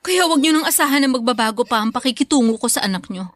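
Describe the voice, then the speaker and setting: A woman's voice: sits high, expressive, fast talker. A woman playing a resentful, hard-hearted young elder daughter in a radio drama, speaking with cold scorn. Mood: bitter anger.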